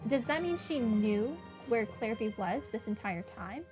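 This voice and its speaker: A woman with a slightly high voice talks casually while she plays, thinking aloud and musing about the story. Faint game music runs behind her. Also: expressive, a little slow. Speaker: game streamer. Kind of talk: casual gameplay commentary.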